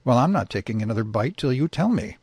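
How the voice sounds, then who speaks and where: A man puts on a female grizzly bear in a comic short story. She questions her mate bluntly and with disbelief, in a husky voice with animated pitch. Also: fast talker, no room sound, studio quality. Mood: puzzled, insistent.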